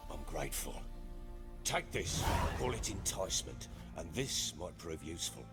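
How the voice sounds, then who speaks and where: Commanding game baron, middle-aged, deep, gravel-throated, expressive swings, blustery, lordly. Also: male voice, normal speed, over music. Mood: gruff. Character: gruff, commanding middle-aged male baron, troubled father. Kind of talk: video game voice acting.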